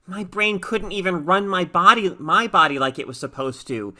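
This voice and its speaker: A woman's voice: fairly low pitch, expressive, moderate pace, sharp tone. A woman voicing an anxious, bewildered girl in a story, with a plaintive, worried manner. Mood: puzzled, uneasy. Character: anxious sickly girl, bewildered and self-doubting.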